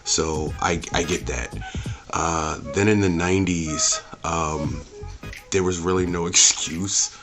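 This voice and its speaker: Low male voice, opinionated reviewer, unscripted rant, blunt and emphatic delivery, faint music behind. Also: slow pace. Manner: blunt, emphatic, with profanity and rhetorical questions. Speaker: host of a movie and game review channel.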